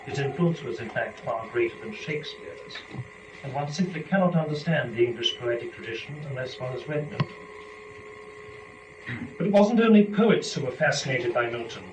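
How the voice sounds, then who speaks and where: A man's voice: mid-range voice, expressive. Formal man, echoey and noisy recording. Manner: formal, deliberate, articulate delivery. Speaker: performer and lecturer. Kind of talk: dramatic poetry reading and introductory lecture. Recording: echoey room, distant mic, dull, noisy.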